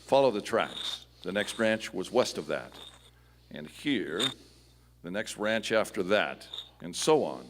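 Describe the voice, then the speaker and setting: A man playing a calm, authoritative Western lawman hero with an American accent and formal delivery. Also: sits low. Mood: assured, explanatory. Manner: measured, formal, explanatory. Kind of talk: Western radio play acting. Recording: noisy live stage hall, long reverb.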